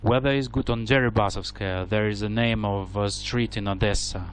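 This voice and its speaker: A man with a Russian accent, speaking earnest, halting, broken English on a prank phone call. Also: lowish voice, steady tone, clean tone. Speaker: prank caller.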